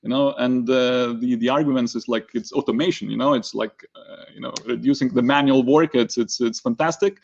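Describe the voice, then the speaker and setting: A man moderating a panel discussion informally, speaking in a halting, hesitant way. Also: medium pitch, steady tone, gravelly, a little mellow, muffled recording.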